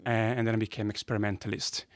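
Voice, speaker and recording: A man with an Italian accent, a native Italian speaker, explaining science in a conversational interview with enthusiasm and pauses between phrases. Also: lowish voice, completely flat delivery, no echo, clean studio sound. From northern Italy. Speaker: guest physicist. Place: podcast studio.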